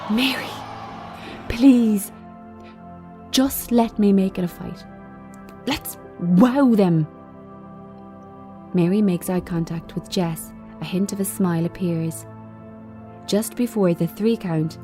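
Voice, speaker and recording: A woman reads screen directions in a measured, unhurried way. Her voice is slightly low and very mellow, delivering short phrases of scripted narration. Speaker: screenplay reader.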